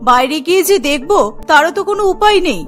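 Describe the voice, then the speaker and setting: A woman's voice: very high voice, lively intonation, quick pace. A woman voicing a caring, firm female village schoolteacher in a children's cartoon, protective of her pupils. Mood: worried. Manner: protective, scolding the children like a teacher.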